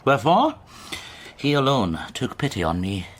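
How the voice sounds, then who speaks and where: Florid, cunning Victorian eccentric, wildly swooping. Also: male voice, medium pitch, big pitch swings, moderate pace, a bit bright. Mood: sly, excited. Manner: florid, declaiming, mocking. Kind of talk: radio drama acting.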